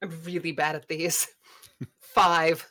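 A woman's voice: low voice, little pitch movement, slow pace, noisy background. A woman playing a tabletop game, chatting casually and playfully, often breaking into giggles. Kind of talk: casual play talk.

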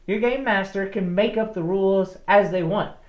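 A woman co-hosting a casual podcast about tabletop games, animated and laughing often as she talks. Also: mid-range voice, normal speed. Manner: laughs often, animated, restarts mid-sentence. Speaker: podcast co-host. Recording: roomy, dull lo-fi sound.

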